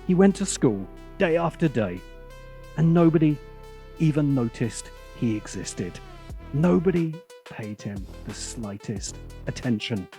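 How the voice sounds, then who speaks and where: A man's voice: medium pitch, big pitch swings, measured pace, warm tone. A man hosting a scripted video essay, narrating with sardonic, dramatic emphasis. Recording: music playing behind the voice.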